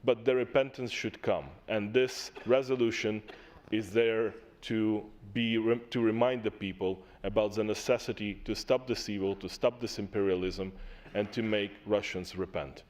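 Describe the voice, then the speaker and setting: A man's voice: lowish voice, steady tone, normal speed, darkish tone. A man speaking as a delegate in a parliamentary assembly, giving a formal, impassioned debate speech with emphatic repetition, at a desk microphone in a large chamber. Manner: impassioned, emphatic, repeats key words.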